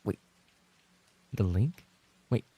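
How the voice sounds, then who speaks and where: A man's voice: drawn-out words, dark tone, dry recording. A man chatting casually on a livestream, reading out viewer messages and reacting with playful mock indignation, swearing and repeating himself when flustered. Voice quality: gravelly, creaky. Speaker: livestream host and roleplay voice actor.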